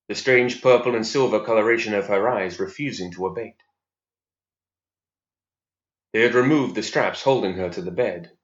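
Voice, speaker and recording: A man with a lowish voice narrates a fantasy story in a serious, measured way. The recording is noticeably roomy and a little dull. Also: a bit bright. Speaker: story reader. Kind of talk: scripted narration of fantasy fiction.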